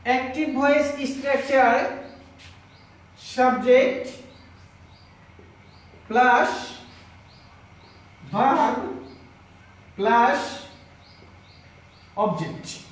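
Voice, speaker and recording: A man teaching an online grammar lesson in a halting, instructional way, explaining step by step to his students. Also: extremely high pitch. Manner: halting, instructional, with repeated check questions. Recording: very echoey room, distant mic.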